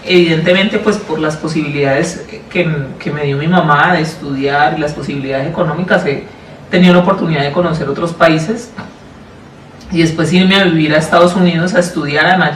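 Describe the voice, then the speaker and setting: Mujer colombiana reflexiva, con eco de sala. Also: female voice, sits low, fairly even intonation, fairly quick. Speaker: interview guest, public education official. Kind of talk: reflective interview answers. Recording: roomy, distant mic, faint noise.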